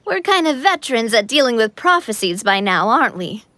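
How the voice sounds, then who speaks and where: A woman's voice: on the high side, expressive, moderate pace, bright, forceful tone, no echo. A woman voicing a cheerful, confident young leader in an anime game, breezy, theatrical and upbeat. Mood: breezy confidence.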